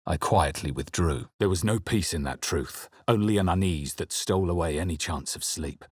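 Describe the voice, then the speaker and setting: Husky, low-voiced brooding fantasy adventurer. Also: male voice, moderate pace, a little mellow, dry recording, clean studio sound. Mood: grave, brooding. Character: male fantasy adventurer hero, brooding and grave. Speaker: video game player character. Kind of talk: dramatic fantasy game narration, barks and spell incantations.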